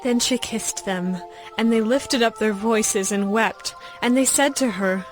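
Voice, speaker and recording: A woman narrating scripture as a scripted audio story, with measured, clear phrasing. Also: a little high. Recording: background music under the voice.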